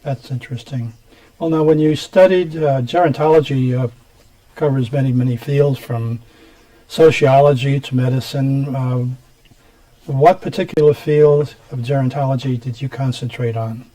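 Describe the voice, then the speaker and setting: Male host for a studio interview; mellow tone, loose and meandering questions. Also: mid-range voice, fairly even intonation, moderate pace, low-quality recording. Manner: rambling questions, frequent mm-hmms. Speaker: TV show host.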